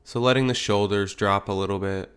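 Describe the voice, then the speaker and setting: A man leading a guided meditation as a teacher, speaking in gentle, unhurried phrases with pauses. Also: lowish voice, steady tone, smooth.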